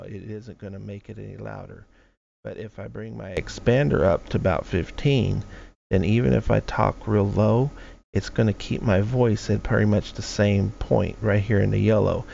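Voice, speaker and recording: Man, low gravelly voice, rambling tutorial, dull lo-fi stream audio. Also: warm tone. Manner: rambling, talks through each setting aloud with restarts. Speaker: streamer explaining audio settings. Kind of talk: casual screen-share tutorial. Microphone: desk microphone.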